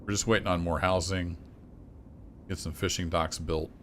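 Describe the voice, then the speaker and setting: A man streaming a city-building game, chatting casually and thinking aloud as he plays, his voice a little rough. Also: low voice, normal speed, slight background noise. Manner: relaxed, thinking aloud with fillers and restarts. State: slightly rough voice. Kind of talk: casual gameplay commentary.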